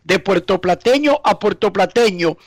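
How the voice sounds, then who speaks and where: Male sports anchor, high voice, punchy read, dry studio, faint music bed. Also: lively intonation, no echo. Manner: emphatic, quick presenting. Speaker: sports news presenter. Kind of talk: news presenting.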